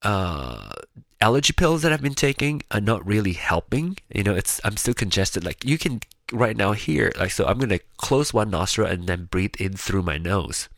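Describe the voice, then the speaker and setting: A man with a very low voice talks in a loose, rambling way, stopping and restarting his sentences. He sounds nasal and congested, and his voice is a little raspy and gravelly. The sound is dry and close, with no room echo. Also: sing-song, normal speed, fairly bright tone. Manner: rambling, chatty, with frequent restarts. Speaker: vlogger. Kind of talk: casual personal monologue to camera.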